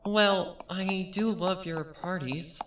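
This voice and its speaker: A man's voice: sits high, steady tone, slow, fairly bright tone, recorded from a distance, voice pushed up. A man voicing a timid, doubtful young girl pony in a storybook reading, hesitant in manner, with noise behind him. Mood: fond.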